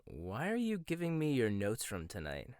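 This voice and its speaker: A man playing a teasing rival chef, soft and close. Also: lowish voice, wildly swooping pitch, normal speed, clear, resonant tone, no echo. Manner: soft-spoken, teasing, gets flustered and stammers. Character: proud rival male chef, teasing and flustered. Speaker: ASMR roleplay voice actor. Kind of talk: boyfriend ASMR roleplay, one-sided dialogue.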